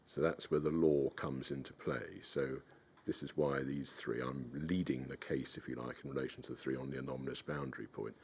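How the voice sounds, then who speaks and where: A man presenting a case at a hearing, arguing in a measured, formal, explanatory way. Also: very deep voice, fairly even intonation, a little mellow, breathy edge. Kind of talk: formal legal argument.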